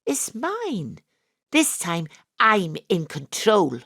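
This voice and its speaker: A woman's voice: mid-range voice, wildly swooping pitch, extremely slow, a bit bright, no room sound, clean studio sound, exaggerated voice. A woman playing a brash, sweary private detective who narrates her own comic audio drama in a breezy, irreverent way. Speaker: narrator and lead character. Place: home studio.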